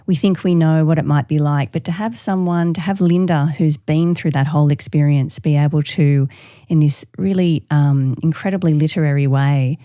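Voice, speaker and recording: A woman speaks in a low, dark voice, recorded very dry. She co-hosts a thoughtful, appreciative conversation, working through her reflections as she goes. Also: fairly even intonation, moderate pace, no room sound. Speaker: podcast co-host.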